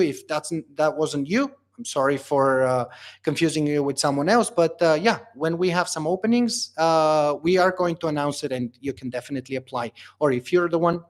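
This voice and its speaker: A man from Bulgaria hosting a livestream Q&A as company founder, reading out viewer questions and answering them casually with lots of fillers. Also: fairly high pitch. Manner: casual, reads questions aloud then answers with many fillers.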